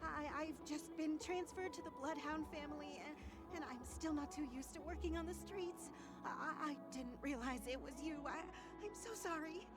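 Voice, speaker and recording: A woman's voice: high voice, normal speed, close to an everyday voice. A woman playing an earnest, deferential young security recruit in a video game. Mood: flustered, apologetic. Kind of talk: scripted video game voice acting. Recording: game dialogue under loud music.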